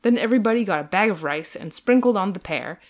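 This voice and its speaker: A woman's voice: mid-range voice, lively intonation, fast. Casual woman podcaster reading and chatting. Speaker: podcast co-host. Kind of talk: dramatic book reading and casual chat.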